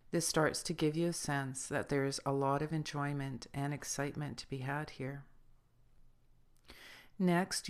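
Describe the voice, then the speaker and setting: A woman leading a scripted guided meditation as a spiritual guide, speaking in measured, deliberate phrases. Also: sits low, clean tone.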